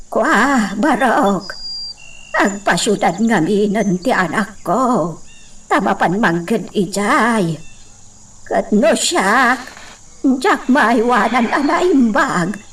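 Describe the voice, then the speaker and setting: A woman's voice: fairly high pitch, expressive, extremely slow, fairly bright tone, husky, exaggerated voice. An actress playing a concerned, motherly older village woman in a radio drama, with an Ilocano accent. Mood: solemn, caring. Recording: distant mic, noisy outdoor-insect ambience.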